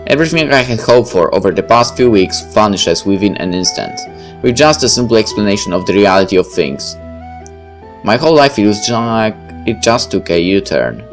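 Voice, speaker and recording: A man's voice: fairly low pitch, animated, normal speed, faint music behind. A man reading a story game aloud and commenting on it as he plays. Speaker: gamer reading a visual novel aloud and commenting. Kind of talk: dramatic read-aloud of first-person fiction with casual asides.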